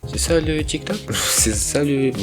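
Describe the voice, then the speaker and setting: A man's voice: fairly high pitch, a little slow, music in the background. A young man hosting a video, reacting to clips in casual, slangy commentary and laughing often.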